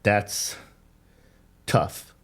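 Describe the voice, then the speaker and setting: A man in his fifties hosting a podcast in casual chat, talking earnestly with a lecturing tone and some wry teasing, in a dim studio on a desk mic. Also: drawn-out words. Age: 50s. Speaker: podcast host.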